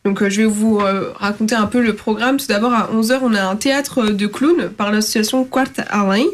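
A woman's voice: medium pitch, steady tone, a little fast, a little hiss or hum. A woman hosting a radio show, chatting and reading out a schedule of events with small fillers. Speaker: radio host.

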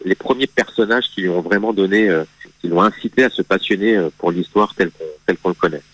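A man speaks as a guest in a radio studio, laying out history in a measured, patient way. His voice sits on the low side and he hesitates often, circling back on his own phrasing. The sound is dry and close, with no room around it. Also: slightly rough, no echo, rough recording. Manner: hesitant, many fillers and restarts, measured explanation. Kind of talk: radio interview, explaining history.

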